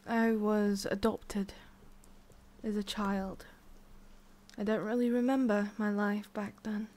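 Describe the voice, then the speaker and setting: Haughty forest witch, played by a woman, ASMR roleplay. Soft-spoken, halting, condescending, with whispery breaths and a hidden tender streak. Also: mid-range voice, level tone, close to an everyday voice. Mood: wistful, halting. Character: haughty, prickly tsundere forest witch, secretly soft-hearted.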